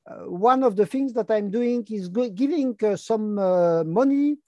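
A man's voice: high voice, darkish tone, dry recording, muffled recording. Hesitant Belgian-French-accented man in his fifties. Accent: French-speaking Belgian. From Brussels, Belgium. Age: 50s. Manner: halting, frequent hesitation fillers. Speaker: guest, longevity activist. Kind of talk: casual interview talk.

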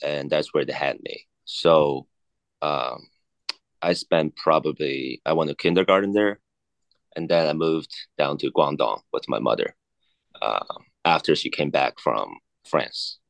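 A man in his thirties from China, a native Cantonese speaker, talking haltingly as an interview guest with many fillers and restarts. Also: extremely low pitch, lively intonation, creaky, dry recording. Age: 30s. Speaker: guest, watch collector. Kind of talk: casual interview chat.

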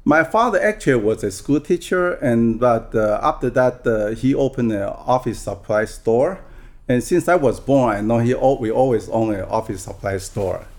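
A man in his sixties from Taiwan, a guest on a podcast interview, talking in a soft, halting way with many restarts. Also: mid-range voice, lively intonation. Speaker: guest real estate broker.

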